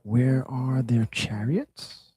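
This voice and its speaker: A gentle young sea nymph speaking in hushed wonder, voiced by a man. the delivery is slow and breathy, with a warm, dark tone. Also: medium pitch, no room sound. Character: Panthea, a gentle young female oceanid.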